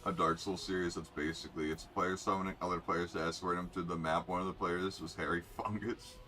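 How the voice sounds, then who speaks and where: A man's voice: low voice, little pitch movement, a little fast, bright, forceful tone, slight background noise. A young man streaming a racing game, rambling and joking as he reads out player names, with faint music behind. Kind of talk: casual live game commentary.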